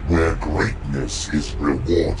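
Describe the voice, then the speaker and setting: A man playing a ruthless, proud war god in a video game, declaiming in a grandiose, deliberate way with a gravelly voice. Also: rumbling low, music in the background. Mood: commanding, grand. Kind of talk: dramatic video game character monologue.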